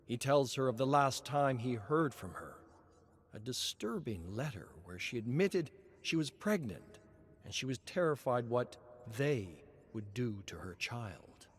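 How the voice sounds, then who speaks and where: A man narrating a story in a measured, expressive reading. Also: medium pitch. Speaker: lore narrator.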